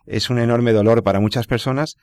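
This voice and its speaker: A man hosting a radio program from a studio, formal and measured. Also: medium pitch, steady tone, a little mellow, smooth, no echo. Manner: formal, measured presenter with some restarts. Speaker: radio host.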